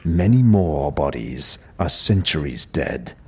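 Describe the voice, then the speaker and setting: A man playing a solemn, heavy-set dustman with a stony voice and formal, measured speech in a fantasy audio drama. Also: a bass voice, sing-song, darkish tone, exaggerated voice. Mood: grave, solemn. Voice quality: gravelly, stony.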